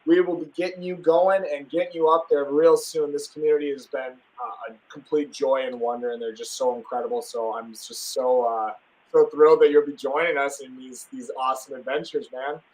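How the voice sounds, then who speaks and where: A man's voice: mid-range voice, fairly even intonation, a little fast, darkish tone, rough recording. A young man from Wisconsin hosting a casual live stream, talking enthusiastically and rambling with plenty of fillers and restarts.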